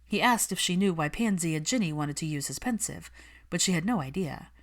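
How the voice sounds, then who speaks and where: Woman reading a story like an audiobook, voice a bit on the low side. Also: lively intonation, brisk. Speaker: audiobook narrator. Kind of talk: scripted fiction narration.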